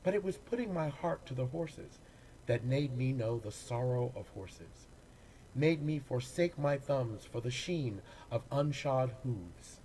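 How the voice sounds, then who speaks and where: A man, an actor, reading poems aloud in a dramatic, deliberate way with pauses between phrases. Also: medium pitch, lively intonation, dark tone, slight background noise, rough recording. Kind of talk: dramatic poetry recitation.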